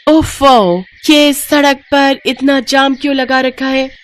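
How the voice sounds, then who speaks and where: Bold young woman back from abroad, played by a narrator, high-pitched, caring and direct, for a moral story. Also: steady tone, slow, a little hiss or hum. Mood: alarmed. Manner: exclaiming, familiar and direct.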